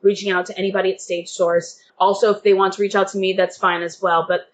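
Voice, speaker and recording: A woman's voice: medium pitch, flat delivery, fast. Interview guest: woman, medium register, earnest and keen, slight background noise. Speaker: theatre guest and reader.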